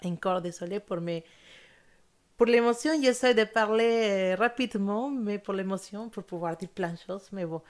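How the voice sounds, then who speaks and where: A woman's voice: mid-range voice, normal speed. A young woman whose first language is not French, giving a monthly tarot reading in an earnest, hesitant way with many fillers and restarts. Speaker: tarot reader.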